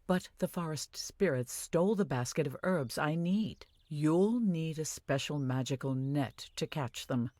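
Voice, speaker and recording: An actress playing an older village wise woman in a video game, caring and earnest, giving measured instructions. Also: sits low, lively intonation, darkish tone, no echo, studio quality. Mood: earnest, urgent. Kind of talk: scripted video game dialogue. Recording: music behind the voice.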